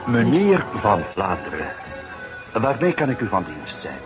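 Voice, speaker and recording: An actor playing a shrewd, unruffled police inspector who questions in a measured, drily insistent way in a detective radio drama. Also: male voice, on the low side, wildly swooping pitch, lo-fi sound, over music. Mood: pressing, accusing.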